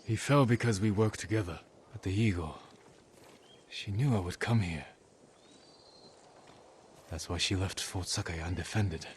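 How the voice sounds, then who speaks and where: An actor playing a resolute samurai in a video game, speaking in a grave, terse, commanding way. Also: male voice, low voice, unhurried, long pauses, slight background noise. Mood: calm resolve. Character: stern, resolute male samurai hero. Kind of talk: video game drama acting.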